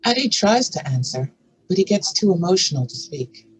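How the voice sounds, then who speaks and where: A narrator reads stage directions from a script. The voice is medium in pitch, and there is faint noise behind it. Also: sing-song, normal speed, low-quality recording.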